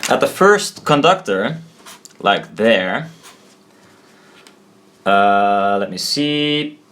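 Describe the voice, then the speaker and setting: Low-voiced man explaining slowly, thinking aloud. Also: animated, a bit bright. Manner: halting, thinking aloud, self-correcting. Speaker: presenter of a hobbyist explainer video. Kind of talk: informal hand-drawn technical tutorial.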